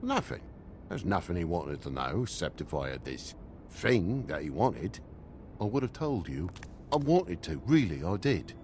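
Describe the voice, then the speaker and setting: An actor with a British accent voicing an aggrieved, slangy middle-aged toad, a shifty dad in a video game, whining and indignant. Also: male voice, low voice, big pitch swings, a little slow, darkish tone, slight background noise. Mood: sullen, defensive. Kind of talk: dramatic video game dialogue.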